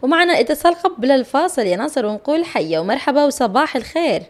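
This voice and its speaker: A woman's voice: high-pitched, animated, quick pace. A woman hosting a radio talk show, affirming and encouraging her callers. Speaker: radio host.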